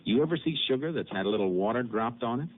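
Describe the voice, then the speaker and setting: A man with an American accent acting a methodical Texas Ranger in a 1950s radio drama, measured and matter-of-fact as he narrates and plays scenes. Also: medium pitch, expressive, natural voice. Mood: reasoning. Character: steady, methodical Texas Ranger lawman, male detective. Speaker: lead actor and narrator. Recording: noisy, narrow-band old radio recording.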